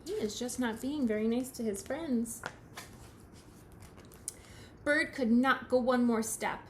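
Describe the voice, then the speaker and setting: A woman teacher reading a picture book aloud to young children, expressive and questioning. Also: on the high side, a little hiss or hum. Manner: expressive, pauses to question the listener.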